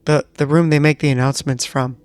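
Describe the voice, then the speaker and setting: A man's voice: mid-range voice, flat delivery, quick pace, clean tone, no room sound. A man taking part as a player in a tabletop roleplaying game, chatting casually in and out of character.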